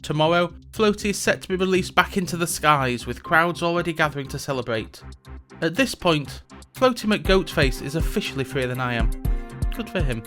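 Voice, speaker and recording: A man's voice: a little high, expressive, normal speed, bright, forceful tone, faint music behind. A man playing a sardonic reluctant mage host. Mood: wry. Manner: dry sarcastic asides. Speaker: podcast host. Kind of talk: scripted comedic narration.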